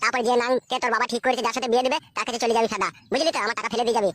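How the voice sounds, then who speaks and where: An actor voicing a crude, pushy, foul-mouthed young man in a comic cartoon, with a pitched-up voice. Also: little pitch movement, rapid-fire, a bit bright. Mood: angry demanding. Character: crude, pushy, money-minded young male lover.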